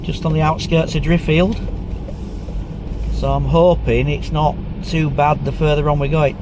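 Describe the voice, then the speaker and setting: A man's voice: a little high, level tone, moderate pace. A man chatting casually while he drives, rambling with lots of ums, and bunged up with a cold. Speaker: vlogger. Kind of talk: casual driving commentary. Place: inside a car. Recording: loud road noise.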